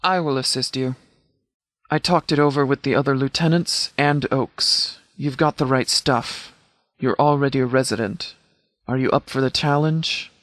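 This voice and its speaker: Game role: male actor as a composed department commander, dry and precise, mid-pitched male voice, close dry sound. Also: no room sound, natural voice. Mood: formal, composed. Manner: measured, formal, precise, deadpan. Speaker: game character, law officer. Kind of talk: video game dialogue voice lines.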